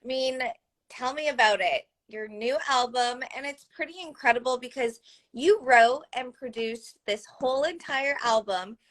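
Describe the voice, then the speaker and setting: A woman's voice: high voice, measured pace, a bit bright, poor audio. A young woman hosting a live interview in an upbeat, encouraging way.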